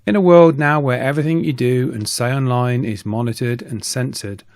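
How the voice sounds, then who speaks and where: A middle-aged man narrates a hobby video in a medium-pitched voice. He reads from a script as the host, in a voice-over style. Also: steady tone, normal speed, a little mellow, no echo, studio quality. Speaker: YouTube host, radio hobbyist.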